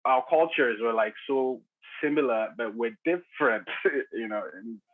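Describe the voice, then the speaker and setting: A man's voice: mid-range voice, measured pace. A man in his thirties from Nigeria, telling his story as a podcast guest with many restarts and fillers and frequent chuckles. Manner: chuckles often, hesitant restarts, many "you know" fillers. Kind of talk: casual conversational storytelling. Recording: tinny phone-like line.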